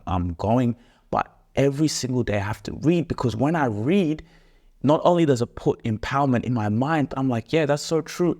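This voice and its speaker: Young man, mid-pitched voice, enthusiastic and fluent co-host. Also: a little mellow. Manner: enthusiastic, fluent, stacks phrases with filler words. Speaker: podcast co-host, son. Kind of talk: casual motivational chat.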